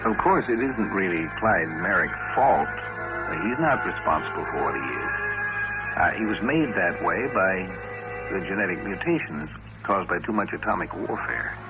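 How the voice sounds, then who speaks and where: A man's voice: sits low, animated, normal speed, poor audio. An American man acting as the grave, rhetorical narrator and commentator of a 1950s radio drama. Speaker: narrator and host. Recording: vintage radio broadcast with loud music.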